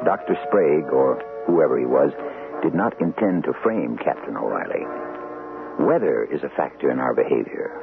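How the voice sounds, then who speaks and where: Very deep, gravelly male mystery host. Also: sing-song. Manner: measured, philosophical, ominous. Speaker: host and narrator of mystery series. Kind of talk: scripted vintage radio narration. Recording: lo-fi old radio recording, music behind.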